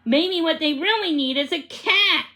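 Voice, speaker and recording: A woman's voice: very high voice, unhurried, bright, forceful tone, pitched above the performer's own voice, full character voice. A female reader voicing a practical, worried mother from a children's storybook, sounding concerned and reasonable.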